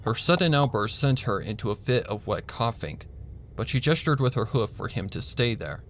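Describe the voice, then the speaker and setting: A man reading a story aloud as narrator, in a measured storytelling manner. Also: medium pitch, slight background noise. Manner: measured storytelling, voicing a flat doctor in quotes. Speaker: narrator and reader. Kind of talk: audiobook narration of fan fiction.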